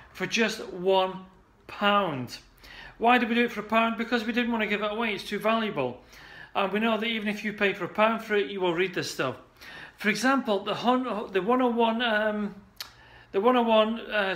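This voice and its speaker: A man's voice: high voice, a bit bright. A man in his forties, a salon marketing coach, talking casually to a desk camera in an indoor office, chatty and upbeat, with plenty of restarts and "uh"s. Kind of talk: casual direct-to-camera pitch.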